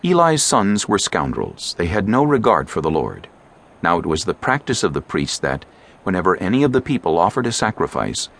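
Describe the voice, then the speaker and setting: A man narrating scripture from a script as a measured, expressive storyteller. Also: low voice.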